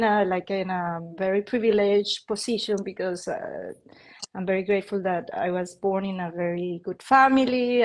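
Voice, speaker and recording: A woman in her fifties speaks English with a Colombian Spanish accent. She rambles easily through her story, leaning on "like" and "you know" as she goes. Her voice sits at an ordinary middle pitch. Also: darkish tone. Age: 50s. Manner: rambling, with frequent "like" fillers and light laughs. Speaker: interview guest, feng shui and Reiki practitioner. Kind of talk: casual interview storytelling.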